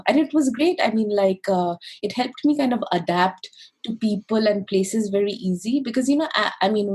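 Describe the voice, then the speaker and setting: Woman, from India and Greece, medium pitch, faintly husky, reflective, conversational, personal storytelling, interview guest. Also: a little mellow. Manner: reflective, with frequent "you know".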